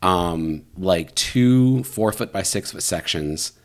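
A man's voice: sits low, expressive, slow pace. A man in his thirties hosting a podcast, telling a long story in a rambling, casual way with many fillers. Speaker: podcast host. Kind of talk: casual conversation.

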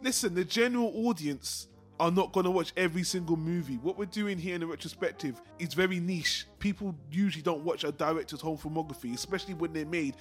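Male film-show co-host, high register, rapid casual delivery, blunt and opinionated, faint music underneath.

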